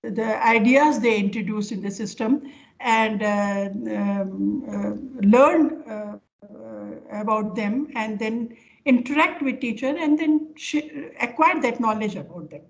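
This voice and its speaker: An older woman with an Indian accent giving an informal academic lecture over a video call, speaking haltingly as she explains with examples. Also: on the high side, gravelly. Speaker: professor giving an online lecture. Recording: dull, lo-fi online call audio.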